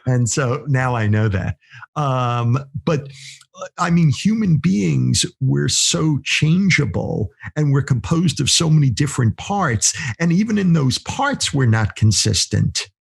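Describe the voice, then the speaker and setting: A man's voice: mid-range voice, animated, slow pace, vocal fry, slightly rough. A man co-hosting a casual podcast, musing aloud, asking questions and teasing his co-host. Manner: musing, asks questions, teases.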